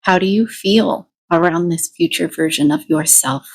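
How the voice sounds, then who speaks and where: A woman leading a guided meditation, giving deliberate instructions in short phrases with pauses between them. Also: fairly low pitch.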